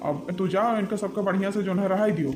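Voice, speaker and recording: Man voicing a high-pitched commanding village exorcist. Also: a little fast, over music, close to an everyday voice. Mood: reasoning. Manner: admonishing, reasoning, repetitive. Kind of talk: animated comedy cartoon voice acting in dialect.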